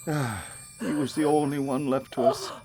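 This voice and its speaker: A man playing an elderly, slow-witted husband and father in an audio drama, halting and full of sighing interjections. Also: medium pitch, steady tone, mellow, faint music behind, close to an everyday voice. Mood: stunned grief.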